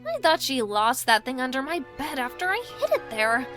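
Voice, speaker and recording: A woman's voice: sits high, very animated, moderate pace, over music. A woman playing a whiny, hot-headed, goofy teenage heroine in a parody audio drama. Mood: flippant. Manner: whiny, exasperated.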